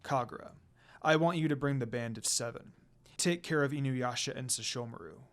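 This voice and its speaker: A man voicing a calm, sinister male demon lord, scheming and smirking in a hushed, menacing way. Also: mid-range voice, normal speed, voice pushed down, natural voice. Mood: calm command.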